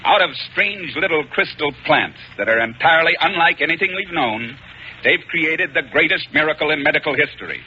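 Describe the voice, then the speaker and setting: An actor playing a shrewd, wry intelligence colonel with assured authority in a 1950s radio serial. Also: male voice, fairly high pitch, animated, fairly quick, sharp tone. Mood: earnest. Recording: muffled, hissy 1950s radio recording.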